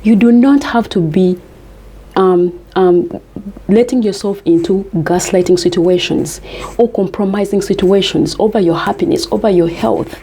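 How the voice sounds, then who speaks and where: A woman's voice: medium pitch, normal speed, dark tone, breathy edge. A woman from Uganda, giving earnest, emphatic life advice to camera and repeating her key points.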